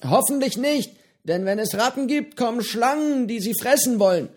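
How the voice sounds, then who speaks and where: Guy doing a cheery old island pirate, kinda high, loose and easygoing. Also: animated, normal speed, a little mellow. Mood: jovial. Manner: easygoing, hesitant with fillers. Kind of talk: audiobook character voice.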